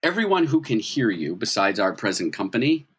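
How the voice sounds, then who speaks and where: A man's voice: fairly low pitch, big pitch swings, fairly quick, a little hiss or hum. A man improvising narration as a tabletop game master, explaining things in a conversational way.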